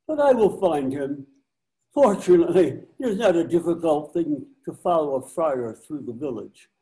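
A man's voice: fairly high pitch, lively intonation, moderate pace, dark tone, natural voice, low-quality recording. An older man playing a crafty, covetous old friar in amateur readers theater, with declamatory archaic speech. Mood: scheming. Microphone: computer mic on a video call.

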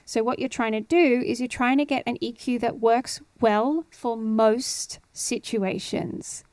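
Clear, high-voiced woman giving a tutorial. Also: steady tone, unhurried, darkish tone, no room sound. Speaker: host of an audio tutorial series. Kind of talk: casual tutorial explanation. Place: indoor home studio. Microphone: close studio microphone on boom arm with headphones.

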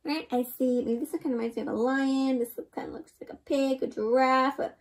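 A young woman reading a picture book aloud to children in an expressive, interactive way, often asking the listener questions. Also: high-pitched, brisk, over loud music. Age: young adult.

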